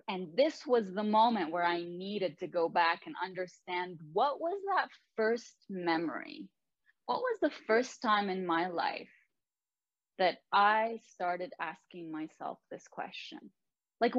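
A young woman from Lebanon speaks in a low voice, reflecting candidly and earnestly on her own story with casual asides. The recording is dry and close, with a slightly dull, muffled tone. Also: dry recording. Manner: candid and earnest, with many "like" and "you know" asides. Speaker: author sharing her life story. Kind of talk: personal reflective monologue.

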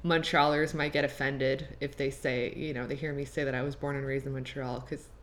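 A woman's voice: rumbling low, little pitch movement, brisk. A woman in her late twenties from Quebec with a Canadian accent and French as her first language, hosting a podcast in a casual, chatty, extroverted monologue peppered with "like". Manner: chatty, extroverted, filler-heavy with "like". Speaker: podcast host. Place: apartment.

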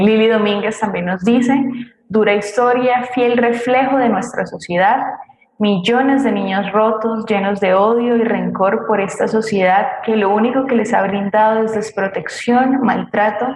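A woman's voice: mid-range voice, fairly even intonation, measured pace, a little mellow. A woman co-hosting an online programme, reading audience comments aloud between short conversational links. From Colombia. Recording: echoey room, faint background noise, clicks.

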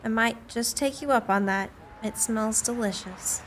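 A woman playing a polite, curious newspaper reporter in a radio play, with a measured interviewing manner. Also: fairly high pitch. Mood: obliging.

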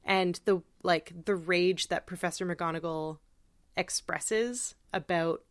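A woman co-hosting a podcast, discussing literature in a reflective, informal way with hesitant pauses. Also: lowish voice, no echo, studio quality. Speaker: podcast co-host.

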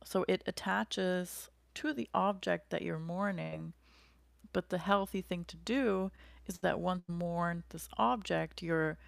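A woman's voice: on the low side, steady tone, unhurried, gravelly, dry recording. A young American woman co-hosting a podcast, talking through ideas in a chatty way with frequent likes and ums. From United States. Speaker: podcast co-host. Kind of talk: casual conversational explanation.

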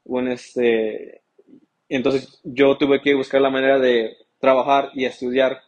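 Hesitant, flat-voiced man raised in the US. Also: medium pitch, takes it slowly, rough recording. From United States. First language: English. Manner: hesitant, many fillers and restarts. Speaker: interview guest. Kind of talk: casual interview talk.

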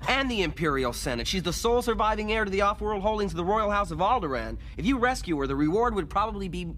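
An actor playing an earnest, impulsive young hero in a radio drama, eager and urgent. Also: male voice, high-pitched, lively intonation, fast, fairly bright tone, slight background noise. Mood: sly persuasion. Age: young adult. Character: earnest, impulsive idealistic farm boy hero.

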